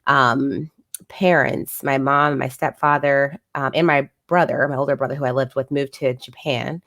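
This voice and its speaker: A woman, a physician assistant and children's author, talking reflectively in a casual interview with many pauses and fillers. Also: extremely low pitch, steady tone, fairly bright tone, no echo, clean studio sound.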